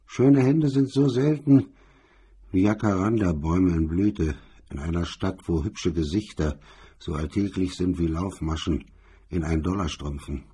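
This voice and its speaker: A man with a husky, rough voice reading scripted crime fiction as a radio drama narrator. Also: low-pitched, unhurried, warm tone.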